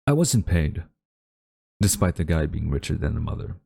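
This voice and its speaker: A man's voice: very deep voice, very animated, vocal fry, mellow, slightly rough, heavily acted. A man acting a haughty government official with a secretly tender side, speaking formally and theatrically in a dramatic audio roleplay. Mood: earnest, gentle. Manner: formal, theatrical, deliberate wording. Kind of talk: dramatic audio roleplay monologue addressed to listener.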